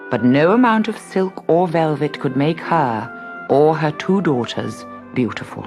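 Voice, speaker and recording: Woman reading a fairy tale, slow and very expressive, husky, faint music behind. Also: low voice. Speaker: storyteller.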